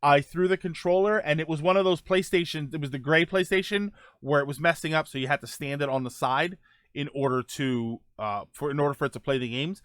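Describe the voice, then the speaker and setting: A man's voice: fairly high pitch, a little fast, a bit bright, no room sound, clean studio sound. A middle-aged man hosting a casual live stream, answering viewer questions in a rambling way with many fillers and restarts.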